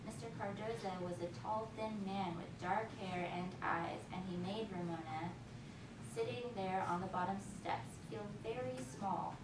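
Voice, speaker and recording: A woman reading a story aloud to young children as their teacher, pausing to ask questions and chat with them. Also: medium pitch. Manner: pauses to question listeners and echo their answers. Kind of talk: read-aloud storytelling with chatty asides to children. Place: echoey classroom, recorded from a distance. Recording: distant, echoey, noisy room recording.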